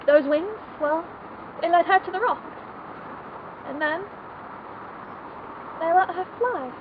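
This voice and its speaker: A woman's voice: high-pitched, natural voice. A young woman playing an intense, repressed aristocratic spinster haunted by her youth, in a theatrical confessional monologue. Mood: impassioned. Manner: theatrical, confessional, deliberate phrasing. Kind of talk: dramatic monologue. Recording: tinny band-limited noisy amateur film sound.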